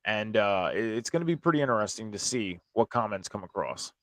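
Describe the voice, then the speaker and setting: Hoarse man hosting a wrestling show. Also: fairly low pitch, lively intonation, a little fast, fairly bright tone, dry recording. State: hoarse after a night of screaming at a concert. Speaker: host of a wrestling review show. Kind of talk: casual recap and commentary.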